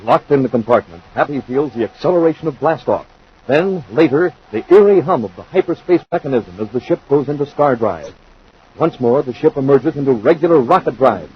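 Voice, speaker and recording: A man's voice: medium pitch, lively intonation, fairly quick, husky. Dramatic male narrator on muffled old radio. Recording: noisy, dull 1950s radio recording.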